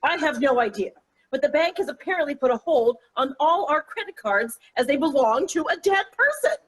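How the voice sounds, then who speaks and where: A woman's voice: sits high, lively intonation, fairly quick, low-quality recording. A woman playing a wry, exasperated middle-aged mother in a comic play read aloud. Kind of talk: table-read stage comedy acting.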